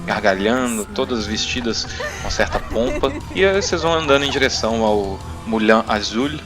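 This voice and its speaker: A man's voice: medium pitch, expressive, moderate pace. A Brazilian man narrating a tabletop role-playing game as game master, improvising in a conversational way and thinking aloud. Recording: background music under the voice. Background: clear music.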